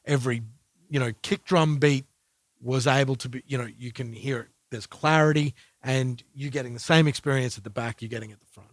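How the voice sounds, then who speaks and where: Measured man chatting about music. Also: mid-range voice, steady tone, no echo. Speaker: podcast co-host. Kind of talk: casual music discussion.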